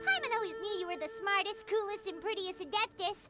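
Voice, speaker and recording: A woman voicing a tiny, greedy, childlike fairy companion in a game cutscene, squeaky, cheeky and dramatic. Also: fast. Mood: flattering, pleading. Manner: dramatic, speaks of herself in third person. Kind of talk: video game cutscene acting. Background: loud music.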